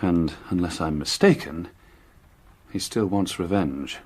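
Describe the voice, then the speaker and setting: An actor playing a shrewd, confident male journalist-detective in a period radio drama, brisk and authoritative. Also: low-pitched, darkish tone, slightly rough. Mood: grave, measured.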